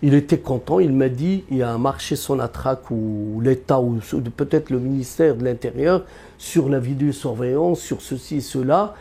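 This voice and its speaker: A man giving an unscripted interview as an economist, earnest and halting, with many fillers and restarts. He grew up in Algeria and has Berber roots. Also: mid-range voice, warm tone, slightly rough.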